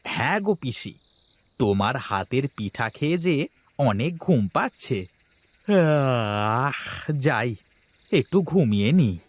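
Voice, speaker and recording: Animated, food-loving village nephew man acting. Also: mid-range voice, takes it slowly, long pauses. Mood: flattering, sleepy. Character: sleepy food-loving nephew, fretful henpecked husband. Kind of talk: animated cartoon story voice acting. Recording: music behind the voice.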